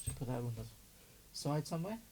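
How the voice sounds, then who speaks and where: A man's voice: fairly high pitch, lively intonation, a little fast, very noisy. A man giving casual, doting unboxing commentary as a hobbyist, chatting and muttering to himself as he works. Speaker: radio hobbyist vlogger.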